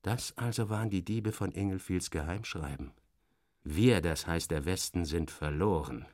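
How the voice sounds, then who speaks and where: A man giving a dramatic first-person literary narration as in a radio play, theatrical and sardonic, with expressive shifts of emphasis. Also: deep voice, normal speed, dry recording, studio quality.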